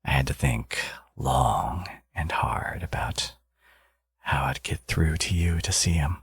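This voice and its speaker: A man playing a smug, teasing supervillain and doting new father in an intimate ASMR audio roleplay, murmuring playfully and cockily in a breathy, gravelly voice. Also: rumbling low, slow, no echo, full character voice. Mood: hushed, smug. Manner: playful, cocky, murmuring to a lover.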